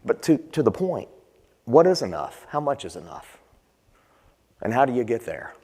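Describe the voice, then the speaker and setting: A man's voice: mid-range voice, sing-song, moderate pace, dark tone, husky. A man leading a conference session, talking conversationally and putting questions to the audience. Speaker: session presenter. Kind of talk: conference panel talk. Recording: long hall reverb.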